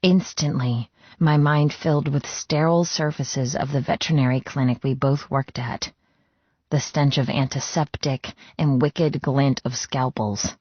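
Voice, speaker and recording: A woman's voice: very deep voice, fairly even intonation, unhurried, slightly rough, dry recording, muffled recording. A woman reading first-person fiction as an audiobook narrator, in a scripted storytelling style.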